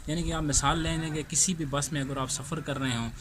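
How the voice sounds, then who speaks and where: A man with a Pakistani accent tells a story informally as a host, halting and repeating himself, often asking rhetorical questions. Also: on the high side, steady tone. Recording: clearly noisy amateur recording.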